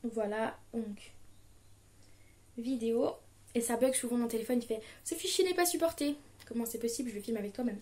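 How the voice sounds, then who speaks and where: A young woman in her twenties recording a casual diction tutorial at home, chatting and reading children's book blurbs aloud with lively intonation. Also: fairly high pitch, a little fast. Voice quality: muffled, as if nasal, from a pen held in her mouth. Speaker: vlogger. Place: small living room at home, indoors.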